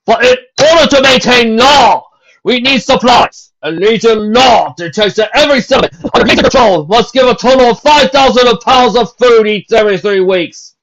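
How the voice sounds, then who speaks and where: Guy doing a booming mean pony captain, really loud and drawn out, muffled lo-fi sound. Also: exaggerated voice. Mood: commanding. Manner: booming, commanding, slow and theatrical. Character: cruel booming male pony legion captain. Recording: dull lo-fi home recording.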